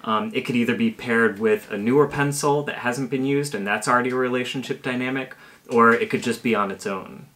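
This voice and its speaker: Man, thirties, medium pitch, casual explainer, home kitchen. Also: brisk, a bit bright. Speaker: puppeteer presenter.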